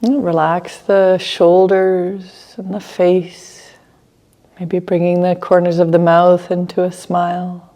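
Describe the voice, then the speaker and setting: A woman teaching a guided meditation, speaking gently in short phrases with long pauses between them. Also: on the low side, level tone.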